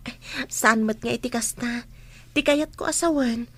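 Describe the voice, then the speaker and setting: A dutiful teenage schoolgirl, voiced by a woman in a radio drama. Her voice is high, and she speaks earnestly and politely, with some hesitation. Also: moderate pace, a bit bright. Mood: earnest reluctance.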